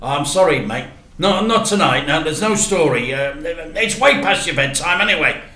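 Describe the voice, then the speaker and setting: Man playing a loud, harried dad at bedtime. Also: on the high side, animated, normal speed, reverberant, pitched above the performer's own voice. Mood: apologetic, firm. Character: harried, evasive working-class father putting son to bed. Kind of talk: children's storybook read aloud.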